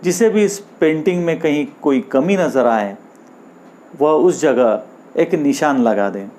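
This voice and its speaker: A man's voice: on the high side, animated, a little slow, darkish tone. A man voicing an earnest, insecure painter in a moral story, reading his written request carefully. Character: earnest, insecure male painter addressing passers-by in writing.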